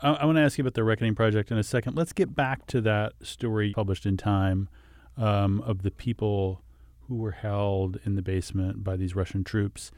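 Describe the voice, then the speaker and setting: A man's voice: fairly low pitch, fairly even intonation, moderate pace, a little mellow, no echo, clean studio sound. A man hosting a podcast, introducing and interviewing a guest in a friendly, conversational way with occasional ums. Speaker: podcast host.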